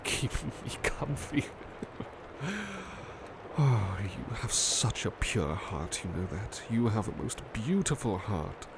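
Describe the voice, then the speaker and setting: Slow, bashful plush-toy butler, acted by a man. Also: on the low side, background noise. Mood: grateful, tender. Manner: hesitant, polite, tender, halting with soft repetitions. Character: gentle, bashful butler turned into a cuddly plush doll. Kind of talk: ASMR roleplay, soothing personal attention.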